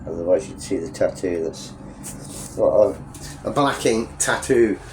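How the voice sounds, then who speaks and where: Man, low voice, stuffy sniffly nose, rambling chatty vlog, faint outdoor hum. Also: expressive, a little slow. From England. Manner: rambling, chatty, self-correcting. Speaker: travel vlogger. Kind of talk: casual vlog narration. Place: inside a Ferris wheel cabin at night.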